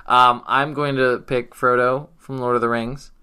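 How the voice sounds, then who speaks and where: A man's voice: medium pitch, level tone, unhurried, pushed and bright, smooth. A man co-hosting a podcast, chatting casually and playfully about games and movies. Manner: playful, joking. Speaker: podcast co-host.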